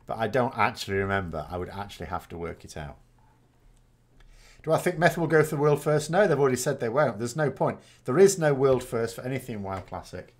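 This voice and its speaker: A man's voice: mid-range voice, animated, fairly quick. A man, chatting casually and rambling as a gaming host answering viewer questions, often correcting himself with dry self-mockery. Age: 40s.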